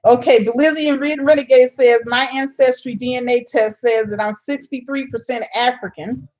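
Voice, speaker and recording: A woman's voice: fairly high pitch, steady tone, moderate pace, darkish tone, highs cut off. A woman hosting a casual live stream. She reads viewer comments aloud, answers them, and laughs often. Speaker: channel host reading live chat.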